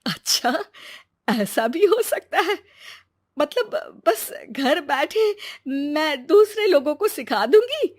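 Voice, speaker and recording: A woman voicing a gentle, self-effacing old village grandmother in a cartoon moral story, affectionate and hesitant. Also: high-pitched, big pitch swings, takes it slowly, no room sound, clean studio sound, full character voice. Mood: surprised. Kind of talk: scripted animated moral story dialogue.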